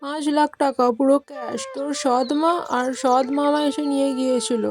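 A woman's voice: sits high, steady tone, moderate pace, music in the background, lightly acted. A woman voicing a blunt, prying mother-in-law in a cartoon moral story.

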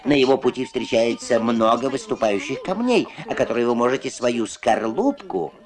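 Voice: mid-range voice, lively intonation, moderate pace, a crowd in the background, natural voice. A performer voicing a fussy, pompous female wagtail bird who preaches proverbs in a children's cartoon. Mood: preachy. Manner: pompous, sententious. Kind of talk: children's puppet cartoon dub. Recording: old film soundtrack with music.

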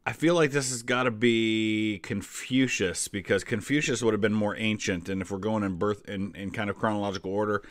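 A man's voice: lowish voice, steady tone, fairly quick, few pauses, no room sound. A man in his forties giving casual, conversational reaction commentary, thinking aloud. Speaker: history YouTuber reacting to a quiz.

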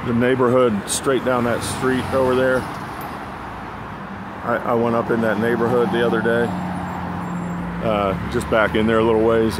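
A man giving casual, rambling, low-key vlog narration on a phone while out walking. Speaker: vlogger. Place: outdoors on a sidewalk beside a busy road. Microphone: handheld phone. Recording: outdoor phone recording with traffic noise. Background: passing cars, street ambience.

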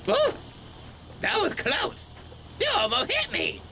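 A man voicing a wild, gruff, gravelly cartoon army general who loves explosions, for a video game. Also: very high-pitched, huge pitch swings, slow, pushed and bright, slight background noise, pitched above the performer's own voice, heavily acted, rough recording. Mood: gleefully aggressive.

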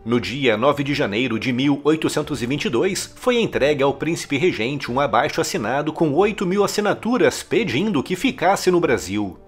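A man narrates a scripted history documentary with a husky, slightly raised voice. His delivery is emphatic and swings widely in pitch, with soft music faintly behind him. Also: animated, moderate pace, few pauses.

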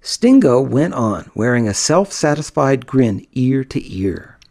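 A man reading first-person audiobook narration in an expressive, dramatic storytelling manner. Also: medium pitch, slow, vocal fry.

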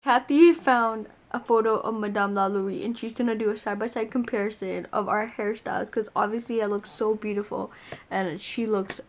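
A woman's voice: mid-range voice, steady tone, a little fast. A young woman chatting casually to camera from her bed, giggly and rambling, filmed at arm's length. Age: young adult. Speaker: vlogger. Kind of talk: casual vlog chat. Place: bedroom, lying in bed.

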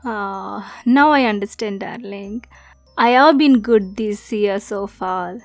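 A woman's voice: medium pitch, slow pace, no echo, faint music behind. A woman playing a sweet, naive but possessive mermaid girlfriend in an intimate ASMR roleplay, coy and often slipping toward a whisper. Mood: curious, naive.

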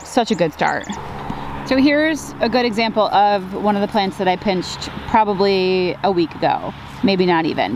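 A woman's voice: mid-range voice, a little mellow, a little hiss or hum. Chatty woman touring her flower farm outdoors. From Upstate New York. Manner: chatty and upbeat, addresses viewers as guys. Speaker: flower farmer. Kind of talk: casual farm tour vlog. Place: outdoors in open farm field.

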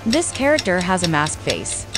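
Lively woman narrating a quiz. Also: on the low side, moderate pace. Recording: voice-over under loud music. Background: loud music.

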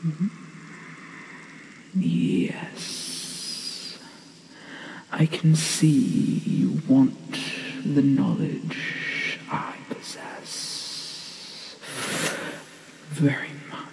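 Ancient she-dragon, acted by a woman, gentle and stately, extremely slow delivery, gravelly creak, breathy half-whisper, ASMR roleplay. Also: low-pitched, fairly even intonation, background noise, full character voice. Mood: stern, measured. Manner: very slow, solemn, measured, formal.

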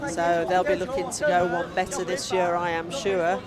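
A man's voice: high-pitched, monotone, moderate pace. A man giving live match commentary as a sports commentator. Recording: loud crowd and outdoor ambient noise. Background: noisy outdoor venue ambience, crowd.

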